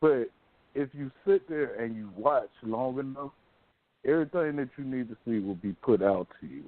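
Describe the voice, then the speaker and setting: A man's voice: mid-range voice, normal speed, dry recording. An American man hosting a casual call-in radio discussion, talking in a rambling, colloquial storytelling way. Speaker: radio show host. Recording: narrow phone-like sound.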